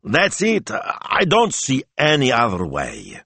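Male reader playing a sour, exasperated man, raised pitch, swinging delivery. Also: huge pitch swings, slow pace, sharp tone, husky, no echo, full character voice. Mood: despairing. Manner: acid, exasperated.

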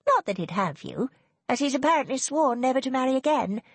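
A woman narrator puts on a spiteful young lady of high society. She is imperious and sharp, pitched up and swooping, hissing her words like a jealous sister in a period novel. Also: expressive, a little fast, breathy edge, no echo, heavily acted. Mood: spiteful, warning.

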